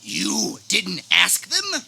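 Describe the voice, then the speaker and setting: Woman doing a gravelly, slow, rude office man. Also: on the low side, wildly swooping pitch, sharp tone, a little hiss or hum, full character voice. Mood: indignant. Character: gruff, rude, crude veteran male office worker. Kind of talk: comedic radio theater acting.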